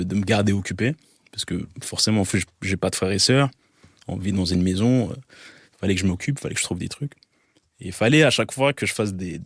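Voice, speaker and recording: A man's voice: lowish voice, no echo, studio quality. A man in his thirties from Algeria, a guest photographer talking in a casual interview, hesitant with many fillers and restarts.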